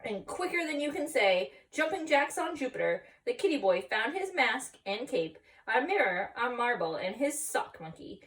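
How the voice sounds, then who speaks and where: A woman reads a picture book aloud to children, recorded a little away from the microphone. Her voice is on the high side and swings widely in pitch. She narrates expressively and steps out to explain things. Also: moderate pace, few pauses, far from the mic. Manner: expressive, stops to explain and question the listeners. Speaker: story reader. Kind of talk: read-aloud storytime for children, with asides.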